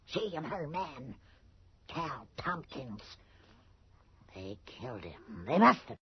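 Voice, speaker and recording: A woman's voice: extremely low pitch, sing-song, slow pace, husky, full character voice. An actress playing an anxious, gossipy rural townswoman in a 1980s radio horror drama, speaking in a folksy, ominous way. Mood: grim, accusing. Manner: folksy ungrammatical speech, hushed and ominous. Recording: dull lo-fi radio drama recording.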